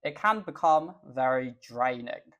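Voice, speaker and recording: A young Englishman with an English accent, a guest chatting in a podcast interview, relaxed and thoughtful with the odd um. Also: mid-range voice, unhurried, lo-fi sound. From England. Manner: relaxed, thoughtful, with filler ums. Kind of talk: casual interview chat.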